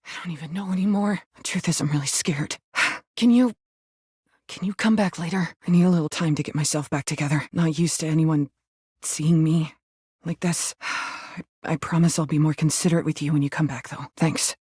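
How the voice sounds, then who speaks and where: A woman's voice: low-pitched, fairly even intonation, normal speed, a little mellow, slightly rough, no echo, clean studio sound. A woman voicing an angry, wounded female rubber duck in a video game, blunt and defensive but easily made vulnerable. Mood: vulnerable, apologetic. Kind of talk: video game character acting.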